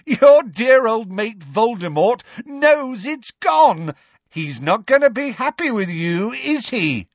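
A woman's voice: a little high, big pitch swings, slow pace, no echo, muffled recording, voice pushed up, exaggerated voice. A woman playing a defiant teenage boy wizard from a storybook, taunting and mocking in a raised voice. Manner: shouting, mocking.

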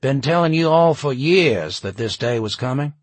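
Audiobook role: aging hippie doomsayer, played by a male narrator, sardonic and easygoing, clean smooth close sound. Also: medium pitch, a little slow, dry recording. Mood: loud, proclaiming. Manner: drawling slang, smiling, sardonic. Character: laid-back aging hippie man, sardonic, cheerful doom prophet.

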